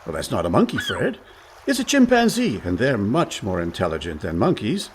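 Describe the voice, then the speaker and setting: An actor playing a breezy, smooth-talking con man older brother with a glib, theatrical manner in a comic radio play. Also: lowish voice, wildly swooping pitch, moderate pace, slight background noise. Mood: breezy, teasing.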